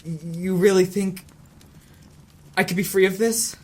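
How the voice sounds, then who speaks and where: A man's voice: high voice, steady tone, unhurried, a little hiss or hum. A man acting a troubled, intense young student cultist in an audio drama, curt and strained. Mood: subdued. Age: young adult.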